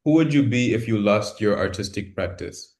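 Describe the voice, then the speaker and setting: A man hosting an informal, unpolished interview, talking in a halting, self-deprecating way. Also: fairly low pitch, animated, a little mellow. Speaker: interviewer, podcast host.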